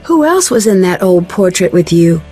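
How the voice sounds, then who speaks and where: A woman's voice: lowish voice, big pitch swings, moderate pace, mellow. An actress playing a composed, inquisitive young woman detective in a video game, with a polite, probing manner. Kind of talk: video game dialogue acting. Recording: eerie game music behind.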